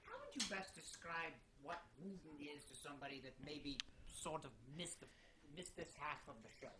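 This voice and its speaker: A man hosting a casual interview, speaking in a halting, stammering way. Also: a little high, very animated, heavy background noise. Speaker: show host. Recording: echoey room with crowd sound.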